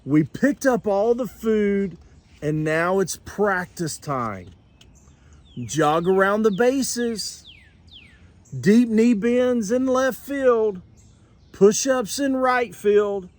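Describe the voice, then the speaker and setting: A man's voice: high-pitched, expressive, extremely slow, pitched above the performer's own voice, full character voice. Man acting an eager, bossy mouse team captain. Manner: shouting orders, rallying.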